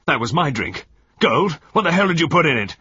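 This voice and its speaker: A man voicing a reserved, stiff middle-aged naval commander with a clipped, forceful manner. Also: mid-range voice, animated, moderate pace, dry recording, pitched above the performer's own voice. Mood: angry. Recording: dull lo-fi recording.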